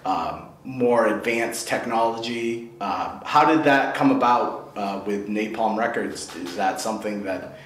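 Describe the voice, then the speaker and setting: A man interviewing a musician, enthusiastic and quick to laugh. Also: on the low side. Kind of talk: casual interview chat. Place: indoor concert venue. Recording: roomy, faint background noise.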